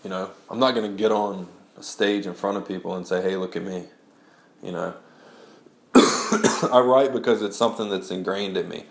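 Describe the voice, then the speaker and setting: Deep gravelly man with a stuffy head cold. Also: fairly even intonation, normal speed, long pauses, reverberant. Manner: rambling, many restarts and "like"s. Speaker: blogger and memoir writer. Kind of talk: casual spoken audio blog.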